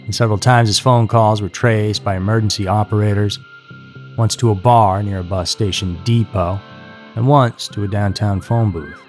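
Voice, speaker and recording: A man's voice: fairly low pitch, fairly even intonation, normal speed. A man reading scripted true-crime narration as a narrator. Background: music.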